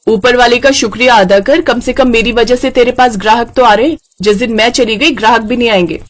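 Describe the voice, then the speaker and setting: A woman's voice: fairly high pitch, brisk, lightly acted. A woman voicing a feisty, hardworking young village woman who sells street snacks in a children's cartoon story, with a scrappy way of talking. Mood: scornful. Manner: loud hawking and scrappy scolding.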